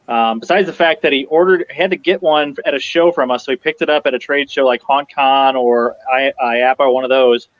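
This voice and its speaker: A man who designs props, guesting on a casual interview over a video call and telling stories in a relaxed way. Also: mid-range voice, brisk, hardly any pauses, dry recording, muffled recording. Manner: relaxed storytelling, laughing easily.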